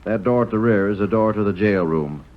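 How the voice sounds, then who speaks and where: A man playing a gruff, skeptical western sheriff with curt, folksy talk in an old-time radio drama. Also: lowish voice, a little fast, natural voice. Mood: dismissive. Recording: dull, noisy vintage radio recording.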